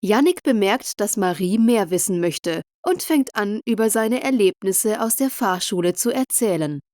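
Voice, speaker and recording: A woman's voice: mid-range voice, animated, normal speed, a little mellow, no echo. Woman reading a simple story, dry studio sound. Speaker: narrator of language-learning stories. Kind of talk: scripted narration of a simple story for German learners.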